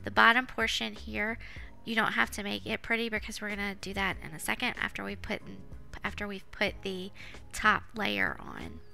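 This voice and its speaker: Creaky-voiced woman, casual cooking voice-over. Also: medium pitch, animated, normal speed, bright, forceful tone. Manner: chatty, informal. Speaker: home cook, vlog host. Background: background music.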